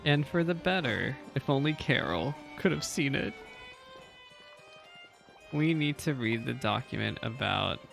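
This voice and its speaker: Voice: mid-range voice, lively intonation, a little slow, gravelly, long pauses, fairly bright tone, soft background music. A streamer giving casual reaction commentary during a game playthrough.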